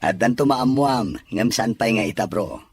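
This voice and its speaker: Man acting a very raspy, devoted young gentleman. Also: mid-range voice, normal speed, no echo. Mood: amused, evasive. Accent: Filipino. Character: wealthy young man, devoted, protective expectant father. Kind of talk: audio drama dialogue.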